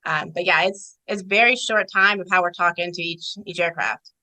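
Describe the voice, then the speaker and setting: A woman's voice: on the low side, normal speed, pushed and bright, low-quality recording. A woman giving informal, explanatory answers in a conversational interview about her job as an air traffic controller.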